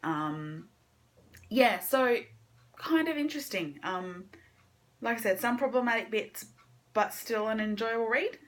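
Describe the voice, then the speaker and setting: A woman's voice: a little high, lively intonation, unhurried, pauses often. A woman gives an informal book review in the manner of a personal vlog. She sounds keen on her subject, yet she often hesitates and rephrases. Her delivery is loose and chatty, wandering from thought to thought. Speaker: book reviewer on own video channel. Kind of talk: casual chat to camera, monthly reading wrap-up.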